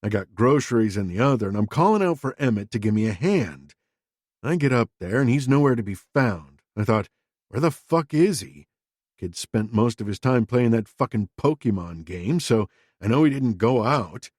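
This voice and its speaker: A man's voice: medium pitch, animated, moderate pace, darkish tone, no room sound, clean studio sound, natural voice. A man doing a crude, bitter father. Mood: gruff, candid. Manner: profane, blunt, self-justifying. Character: crude, defensive middle-aged divorced father.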